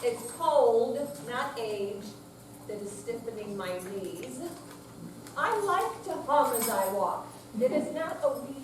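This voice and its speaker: A woman's voice: a little high, lively intonation, takes it slowly. An older woman giving an emphatic, mock-indignant recitation of a humorous poem, recorded from far off in an echoey room. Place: senior center room with audience, distant mic. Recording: echoey hall from far off, noisy.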